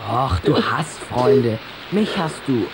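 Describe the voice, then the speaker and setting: A man's voice: medium pitch, lively intonation, takes it slowly. Earnest boy amid rain hiss. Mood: consoling. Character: earnest, quick-witted human boy, loyal friend. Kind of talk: children's radio play acting. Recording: noisy, rain-like hiss behind the voice.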